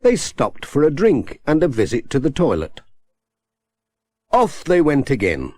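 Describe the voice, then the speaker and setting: A man with a British accent narrating a children's story in a measured, expressive storytelling manner. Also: medium pitch, no echo. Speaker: audiobook narrator.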